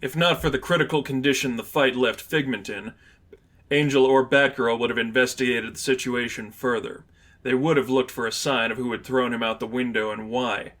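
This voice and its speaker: A man's voice: mid-range voice, steady tone, normal speed, fairly bright tone. A man reading scripted fiction aloud as the narrator of an action story. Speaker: narrator, reader.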